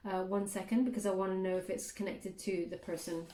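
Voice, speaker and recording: A woman's voice: mid-range voice, steady tone, a little fast, dark tone, echoey room. A woman giving a casual tarot reading, chatty and full of fillers, often checking in with "okay?". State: clearing throat, voice catching. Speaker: tarot reader.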